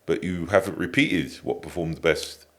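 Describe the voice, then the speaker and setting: A man speaking as a coach in a podcast conversation, measured and probing, repeating phrases for emphasis. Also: sits low.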